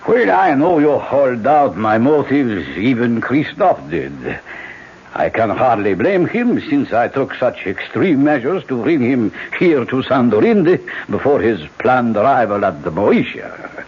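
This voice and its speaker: An actor playing an aging, suave and scheming Caribbean generalissimo in a radio drama, speaking in a courtly, deliberate, grandiloquent way. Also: male voice, mid-range voice, big pitch swings, husky. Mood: smooth, persuasive. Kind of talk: 1970s radio drama acting. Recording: muffled, noisy vintage radio broadcast.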